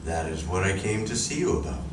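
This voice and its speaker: Recorded from far off in an echoey, noisy room, an actor plays a calm male alien emissary with a low voice. He speaks formally and deliberately, with polite menace, in radio drama style. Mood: grave warning. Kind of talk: live radio drama acting. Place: library community room, live performance. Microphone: audience member's distant recorder.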